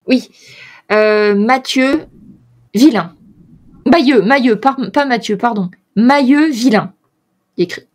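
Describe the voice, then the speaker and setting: A woman's voice: a little high, slow pace, long pauses. A woman running a tabletop role-playing game as game master, often hesitating with fillers and restarts.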